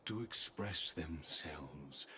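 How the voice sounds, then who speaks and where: An actor playing a courteous humanoid council envoy in a video game, formal, deferential and measured. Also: male voice, rumbling low, lively intonation, a little hiss or hum, full character voice. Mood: formal, solemn. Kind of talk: scripted video game dialogue. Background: loud game music.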